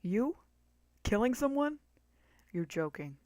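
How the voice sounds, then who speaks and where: A woman's voice: fairly high pitch, sing-song, slow pace, warm tone, no echo, pitched above the performer's own voice. A woman voicing a laid-back, joking male skeleton with a protective big-brother streak, in a casual, slangy dramatic reading. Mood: incredulous. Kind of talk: dramatic fan-fiction reading.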